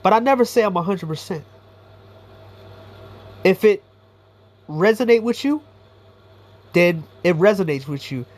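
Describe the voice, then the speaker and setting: A man's voice: high-pitched, animated, unhurried, pauses often. A man giving a blunt, profane, rambling commentary monologue as a YouTuber.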